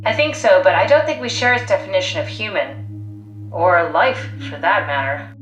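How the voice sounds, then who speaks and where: A woman's voice: on the low side, animated, moderate pace, a bit bright, dull audio. A woman playing a pragmatic, driven, blunt scientist in a video game, analytical, matter-of-fact and persuasive. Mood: reflective. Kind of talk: video game voice acting, naturalistic dialogue. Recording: echoey sound. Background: faint music.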